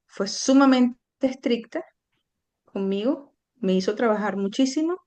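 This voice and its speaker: A woman's voice: mid-range voice, darkish tone. A middle-aged woman from Venezuela, a guest recounting her own story in an earnest, reflective way with frequent hesitations. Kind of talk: personal storytelling in an interview.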